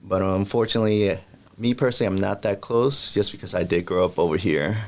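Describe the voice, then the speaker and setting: A young man from El Salvador, a guest answering interview questions in a plain, measured, somewhat hesitant way. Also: on the low side.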